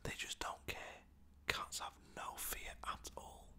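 A man from Lancaster, softly whispering rambling stories about pets as a dog walker. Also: slow. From Lancaster, England. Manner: soft whispering, rambling and chatty. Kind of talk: whispered ASMR storytelling.

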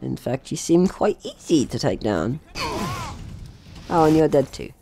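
A man's voice: a little high, very animated, measured pace, creaky, over music. A man hosting a casual let's-play, talking playfully to the game's characters and swearing now and then. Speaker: gaming host.

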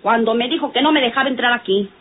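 A woman's voice: fairly high pitch, quick pace, slightly rough. An actress playing a touchy, nosy, folksy working-class Mexican woman who scolds, in an old radio drama. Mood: indignant scolding. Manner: quarrelsome, folksy speech. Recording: tinny, noisy vintage radio recording.

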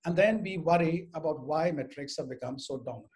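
An older man giving formal, measured, articulate remarks as a panel speaker on a video call. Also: on the high side, gravelly, darkish tone. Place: indoors at home, on a group video call.

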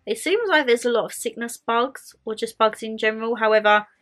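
A woman's voice: on the high side, brisk, fairly bright tone. A young woman chatting casually to camera from her bedroom, bubbly and gesturing as she talks, still getting over a cold. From England. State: lingering cold. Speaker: vlogger. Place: bedroom, indoors.

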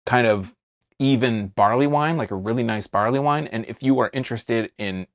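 An American man in his thirties giving a casual, unscripted review to camera, halting and rephrasing as he describes things. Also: mid-range voice, dry recording. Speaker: beer reviewer, video host. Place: small indoor studio, seated at a table.

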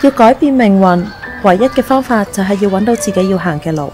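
A woman's voice: medium pitch, expressive, a little fast, darkish tone, soft background music, natural voice. A woman acting a caring, troubled young woman in a radio drama, earnest and questioning, with a Hong Kong Cantonese accent. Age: young adult. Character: worried, caring young woman devoted to her friend.

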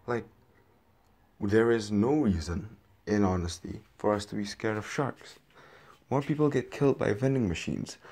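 Low-voiced man in a reflective monologue. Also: darkish tone. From Indonesia. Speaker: video essayist.

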